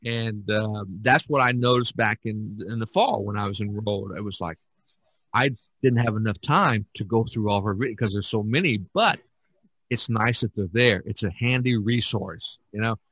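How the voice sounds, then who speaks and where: A man hosting a casual podcast chat, speaking haltingly and often repeating his words. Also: on the low side, fairly even intonation, fairly bright tone, slightly rough, dry recording, rough recording. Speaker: podcast host.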